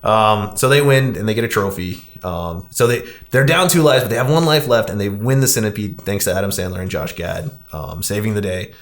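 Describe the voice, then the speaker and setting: A man's voice: on the low side, a little fast, hardly any pauses. A man hosting a podcast, talking through a movie in an informal, rambling casual review style. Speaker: podcast host.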